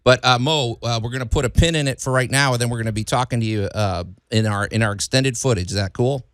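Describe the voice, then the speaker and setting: A man from Chicago hosting a radio show, interviewing in an easygoing, halting way with many fillers. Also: mid-range voice, fairly bright tone, clear, resonant tone, dry recording, studio quality. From Chicago, USA. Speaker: radio host.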